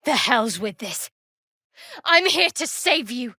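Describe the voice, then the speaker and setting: A woman playing a guarded, wry young cleric of a dark goddess in a video game, speaking in a dry, measured, sardonic way. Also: on the high side, sing-song, slightly rough, no echo, full character voice. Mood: fierce, battle-ready.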